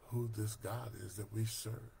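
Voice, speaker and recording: An older man reading a Bible study lesson aloud from home, slow, deliberate and earnest, recorded on a webcam mic. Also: lowish voice, steady tone, vocal fry, warm tone, slight background noise. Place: home room, indoors. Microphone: webcam at desk.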